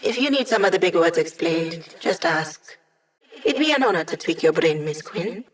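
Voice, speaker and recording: A woman's voice: on the low side, animated, moderate pace, close to an everyday voice. An actress voicing a witty young female hacker in a video game, a digitized mind who helps a squad with breezy, wry, techy teasing. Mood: dry, teasing. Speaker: mission support character in a video game.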